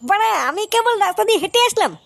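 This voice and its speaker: A performer with a female-sounding voice playing an excitable, foul-mouthed young club member in a crude comic cartoon, brash and argumentative. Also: very high voice, rapid-fire, no echo, full character voice.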